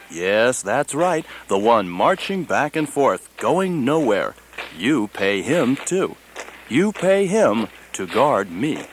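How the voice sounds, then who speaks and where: An actor in a satirical radio play voicing the wry, lonely ghost of an unknown soldier, confiding directly in the listener. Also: male voice, mid-range voice.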